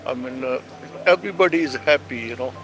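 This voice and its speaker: A man's voice: medium pitch, brisk, music in the background, poor audio. An older man in a street interview, speaking earnestly in simple phrases. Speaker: member of the public.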